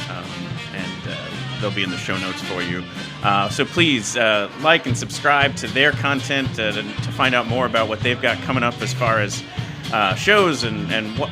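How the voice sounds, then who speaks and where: A man hosting a music podcast, talking casually and haltingly as he thinks aloud. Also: medium pitch. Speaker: podcast host.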